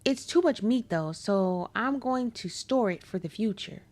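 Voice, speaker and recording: A hoarse woman reading the lines of a polite male cannibal housemate in a game, calm and pleasant even when threatening. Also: mid-range voice, a little slow, lightly acted. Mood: pleased.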